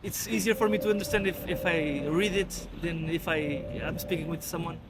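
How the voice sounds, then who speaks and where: Portuguese guy on the street, slightly high voice, traffic noise behind, stumbles and restarts a lot. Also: fairly even intonation, fairly quick. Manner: hesitant, restarts often, laughs easily. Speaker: passerby interviewee. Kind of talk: casual street interview. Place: outdoors on a street.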